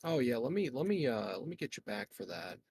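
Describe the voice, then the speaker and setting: A man with a gravelly, husky voice chatting casually as a tabletop game player. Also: medium pitch, very animated, fairly quick, darkish tone. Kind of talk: casual chat.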